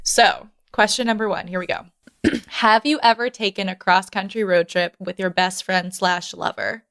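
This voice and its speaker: A woman's voice: medium pitch, fairly even intonation, moderate pace, fairly bright tone, clear, resonant tone. A woman co-hosting a casual podcast in an enthusiastic, informal, joking way. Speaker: podcast co-host.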